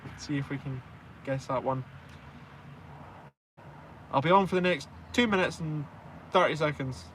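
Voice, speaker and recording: A man's voice: a little high, moderate pace, fairly bright tone, slight background noise. A man in his twenties with a Scottish accent, chatting casually and informally on a live stream and answering viewers with self-deprecating banter. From Scotland. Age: 20s. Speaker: truck driver and live stream host.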